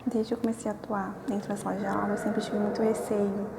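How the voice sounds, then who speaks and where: A woman's voice: medium pitch, little pitch movement, fast, vocal fry. Earnest woman with noise behind her. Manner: earnest, hesitant fillers. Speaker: student teaching assistant. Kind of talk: testimonial.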